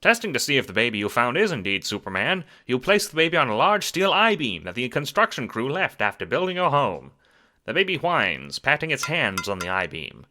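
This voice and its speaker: A man's voice: medium pitch, sing-song, a little fast, pushed and bright. A man narrating a scripted second-person story in a theatrical, wry manner. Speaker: narrator of an audio drama.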